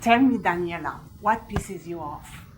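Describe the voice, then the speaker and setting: A woman in her forties with a Romanian accent, giving a live talk to an audience with animated storytelling and frequent laughter. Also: fairly low pitch, measured pace, slight background noise. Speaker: speaker. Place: large event hall with an audience.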